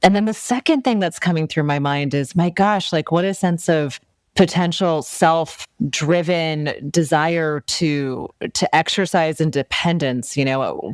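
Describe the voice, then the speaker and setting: Woman podcast host, gushing and emphatic, smooth clear voice, dry close sound. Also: deep voice, no echo. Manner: gushing, warm compliments, slow emphatic questions. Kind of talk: effusive interview hosting.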